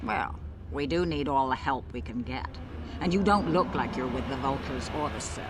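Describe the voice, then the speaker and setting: A woman's voice: very deep voice, expressive, normal speed, very noisy. A woman playing a wary, loyal street gang guard in a video game, guarded and challenging. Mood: grudging, warning.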